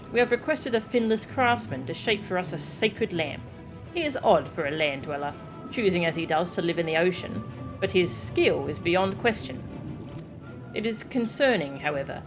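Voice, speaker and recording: A woman voicing a solemn, formal fish-folk sea dweller from a video game, speaking in measured archaic phrases. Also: on the low side, lightly acted. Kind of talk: reading video game character dialogue. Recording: game music behind the voice.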